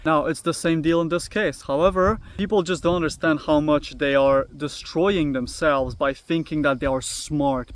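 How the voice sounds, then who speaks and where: A young man giving an earnest self-help lecture to camera, often ending points with "right?". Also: on the high side. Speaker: self-improvement YouTuber. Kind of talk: talking-head self-help monologue.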